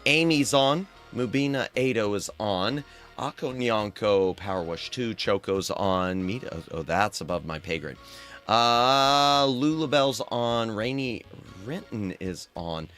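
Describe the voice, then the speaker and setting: A man's voice: lowish voice, lively intonation, a little slow, a bit bright, soft background music. A man livestreaming, tired and straining his throat, chatting casually in a playful, rambling way and humming to himself. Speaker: streamer.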